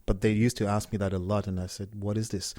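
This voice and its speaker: A middle-aged man from Cameroon, a startup co-founder talking casually as an interview guest, relaxed and often restarting his words. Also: fairly low pitch, fast, no room sound, studio quality.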